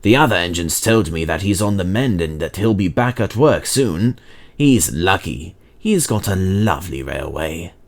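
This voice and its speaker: A man's voice: sits low, animated, moderate pace. A man voicing a proud, chatty male steam engine in a storybook, boasting dramatically about adventures. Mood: happy, nostalgic.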